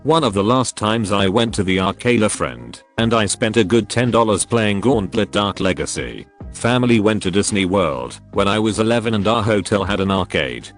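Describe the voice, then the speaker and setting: Low-voiced male narrator, steady reading. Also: normal speed. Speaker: narrator reading forum posts. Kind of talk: scripted narration. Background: faint background music.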